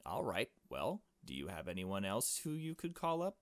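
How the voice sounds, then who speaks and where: A man's voice: fairly low pitch, huge pitch swings, normal speed, dry recording. A man playing an exasperated, dismissive male relative in a visual novel, impatient and curt.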